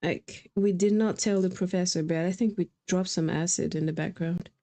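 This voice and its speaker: A woman's voice: fairly low pitch, steady tone, moderate pace, dark tone. A woman doing casual live game commentary as a streamer, informal and joking, speaking into a microphone. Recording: game music behind the voice. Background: faint game music.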